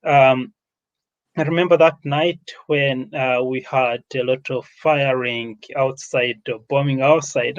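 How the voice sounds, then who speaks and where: A man's voice: on the high side, highs cut off. A man with a Ugandan accent speaks off the cuff as host and speaker at an online summit, emphatic and repeating himself for effect.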